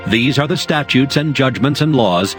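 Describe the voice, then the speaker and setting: A man's voice: mid-range voice, expressive, moderate pace. Male Bible narrator over faint music. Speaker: scripture narrator. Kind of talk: scripted King James Bible narration. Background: faint background music.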